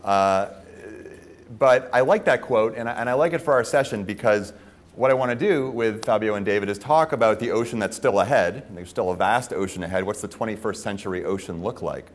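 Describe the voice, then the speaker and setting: A man in his forties opening a conference panel as moderator, speaking in a measured, articulate way on a lapel mic. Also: fairly low pitch. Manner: measured, articulate, with frequent "uh" fillers. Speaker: moderator, professor. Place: conference stage.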